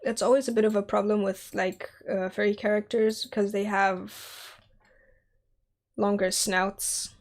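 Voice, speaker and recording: A woman's voice: mid-range voice, steady tone, a little slow. A young woman in her twenties streaming while she sculpts, chatty and giggly as she answers chat, her voice scratchy from a cold. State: scratchy from a sore throat and cold. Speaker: streamer, 3D artist.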